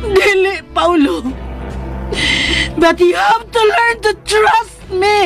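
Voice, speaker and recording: An actress playing a patient, long-suffering young wife in a radio drama, pleading earnestly with a Filipino accent. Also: female voice, extremely high pitch, takes it slowly, exaggerated voice. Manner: plaintive, earnest, coaxing. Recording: dramatic music bed behind.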